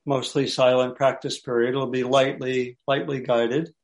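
Slow-paced man guiding meditation. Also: medium pitch, level tone. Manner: unhurried, pausing between short phrases. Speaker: meditation teacher. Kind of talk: lightly guided meditation instruction.

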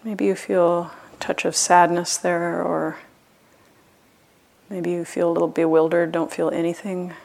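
Low, creaky-voiced woman guiding meditation. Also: flat delivery. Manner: gentle, unhurried, with long pauses. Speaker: meditation teacher. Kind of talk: guided loving-kindness meditation.

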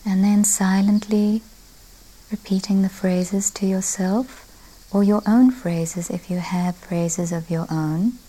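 A woman meditation teacher leading a guided meditation in a gentle, unhurried way with long pauses. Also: mid-range voice, steady tone, poor audio. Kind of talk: guided loving-kindness meditation.

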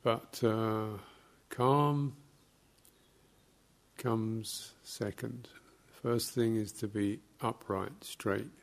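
Slow, creaky-voiced man guiding meditation. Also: fairly low pitch, steady tone. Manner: measured, halting, with long pauses between short phrases. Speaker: meditation teacher. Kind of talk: guided meditation instruction.

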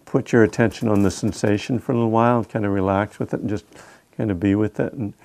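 A man's voice: on the low side, steady tone, fast talker, creaky, a little mellow. A man leading a meditation group's question time, easygoing and wry, with many fillers. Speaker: meditation teacher. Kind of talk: relaxed Q&A guidance. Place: meditation hall.

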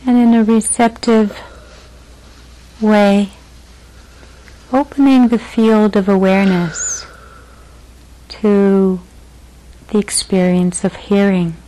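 A woman guides a meditation at a very slow pace. Her voice sits at a medium pitch. She speaks gently in short, unhurried phrases, leaving long pauses between them. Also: flat delivery, poor audio. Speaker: meditation teacher.

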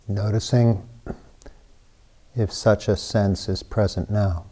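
A man leading a guided meditation, speaking in sparse, hushed phrases with long pauses between them. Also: fairly low pitch. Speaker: meditation teacher.

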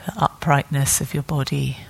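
A woman meditation teacher leading a guided meditation calmly and gently, in short phrases with long pauses between them. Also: very deep voice, vocal fry, breathy edge.

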